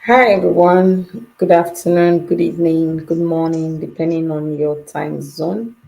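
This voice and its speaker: A woman from Nigeria introduces herself on a live stream. Her voice sits very low with a gravelly edge. The tone is dark and mellow, and she speaks over a video call. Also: fairly even intonation, a little slow, rough recording. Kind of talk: self-introduction.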